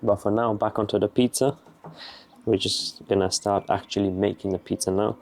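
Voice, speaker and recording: A young man with a Bulgarian accent, casually explaining as he cooks outdoors in a garden, relaxed and matter-of-fact. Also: on the low side, moderate pace, gravelly, slight background noise. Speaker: vlogger. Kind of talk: casual explaining while cooking.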